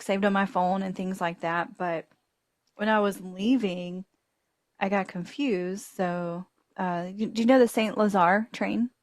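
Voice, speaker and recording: A woman's voice: mid-range voice, no room sound. A woman hosting a podcast, telling a travel mishap with frequent laughter and acted-out dialogue. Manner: animated, laughing storyteller acting out voices in her story. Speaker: podcast host. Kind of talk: casual travel storytelling.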